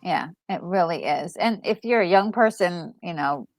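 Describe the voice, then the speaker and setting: A woman's voice: on the low side, animated, normal speed, no echo. A woman co-hosting a gaming video, chatting casually as she reacts to the story. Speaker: gaming co-host. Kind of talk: casual chat, reacting to gameplay.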